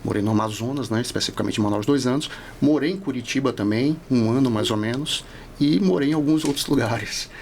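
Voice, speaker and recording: A man's voice: mid-range voice, a little fast, creaky, a little mellow, slight background noise. A man in his forties from Salvador with a Northeastern Brazilian accent, chatting reflectively and explaining things as an interview guest. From Salvador, Bahia, Brazil. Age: 40s. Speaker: podcast guest, law professor. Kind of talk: casual interview chat.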